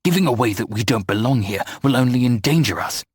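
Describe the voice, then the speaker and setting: A man voicing a stern, guarded young man who talks in a firm monotone drawl. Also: mid-range voice, fairly quick, slightly rough, dry recording, clean studio sound. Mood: hissing.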